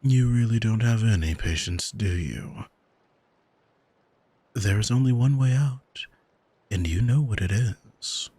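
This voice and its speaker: A man playing an arrogant demon in an ASMR audio drama, smug and teasing, speaking deliberately and intimately to the listener with a husky voice, close on the microphone. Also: on the low side, dark tone, no echo, exaggerated voice. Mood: smug, controlling. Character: arrogant male demon who owns a soul, smug, taunting. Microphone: close studio microphone, dry.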